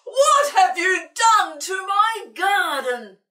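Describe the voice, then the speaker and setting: A woman voicing a furious, grumpy old man neighbour in a storybook, shrieking and shouting. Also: very high-pitched, sing-song, slow, voice pushed up, full character voice. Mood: outraged. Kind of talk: storybook character voice. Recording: roomy, distant microphone.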